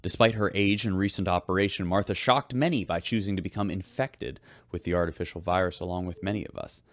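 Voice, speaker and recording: An actor plays a weary male radio host reporting from a spaceship in a sci-fi drama. His voice is low and closely recorded with a dry sound. He is wry and measured as he delivers the news. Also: no room sound, lightly acted. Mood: weary, concerned.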